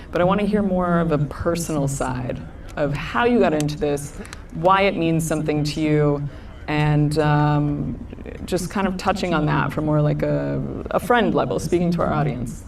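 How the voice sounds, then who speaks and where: A man's voice: a little high, fairly even intonation, moderate pace, gravelly, hardly any pauses, a little hiss or hum. A man moderating a panel, casual and affirming as he asks the panelists about themselves. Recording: far from the mic.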